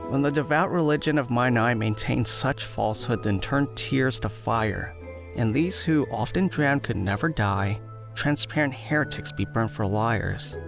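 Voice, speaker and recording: A man's voice: medium pitch, unhurried, vocal fry, over music. A man playing a lovesick, brooding, melodramatic young nobleman in a Shakespearean audio play. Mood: ardent, defiant.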